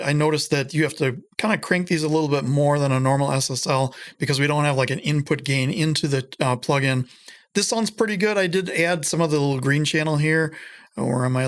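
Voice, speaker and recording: A middle-aged man talks through a casual home-studio tutorial from a small room. His voice sits a bit high for a man, and he rambles with plenty of ums and self-deprecating asides. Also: a bit bright. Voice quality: higher voice than it used to be. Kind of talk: casual screen-recorded audio tutorial.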